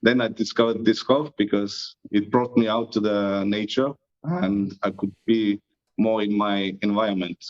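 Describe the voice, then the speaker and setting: A man's voice: on the low side, fairly even intonation, moderate pace. A man with an Estonian accent, answering interview questions in an easygoing, modest way. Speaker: guest, disc golf YouTuber. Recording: muffled lo-fi audio.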